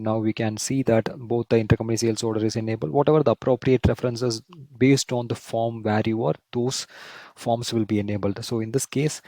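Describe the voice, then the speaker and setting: A man presenting a screen-share software tutorial, explaining step by step and often repeating himself. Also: medium pitch, no echo. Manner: step-by-step, repetitive explaining, often checks with "right?".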